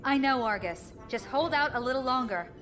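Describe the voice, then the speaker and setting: A woman playing a decisive young pirate clan leader in an audio drama, with a brisk, commanding delivery. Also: sits high, expressive, a bit bright, soft background music. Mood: tense, determined.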